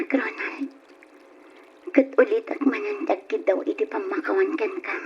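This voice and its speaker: A woman's voice: medium pitch, natural voice. A woman acting a pleading young Ilocano woman, muffled recording. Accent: Filipino, Ilocano. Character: pleading young woman torn by forbidden love, self-sacrificing. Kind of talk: Ilocano radio drama acting. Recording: muffled, noisy old radio drama recording.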